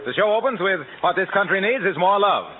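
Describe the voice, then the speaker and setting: Animated man announcing on vintage radio. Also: high-pitched, fast. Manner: polished, salesmanlike. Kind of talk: 1940s radio announcing, scripted sponsor pitch. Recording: narrow-band vintage radio, faint music.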